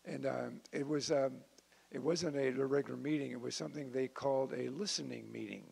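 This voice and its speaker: A man speaking informally as a council member at a meeting, with a hesitant, halting delivery. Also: medium pitch, steady tone, gravelly, darkish tone, husky. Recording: hissy meeting-room audio.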